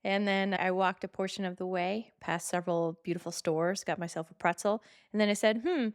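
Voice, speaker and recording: A woman's voice: on the low side, fairly even intonation, fairly quick, smooth. Playful woman co-hosting a podcast. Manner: playful, chatty storyteller. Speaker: podcast co-host. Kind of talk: casual podcast chat.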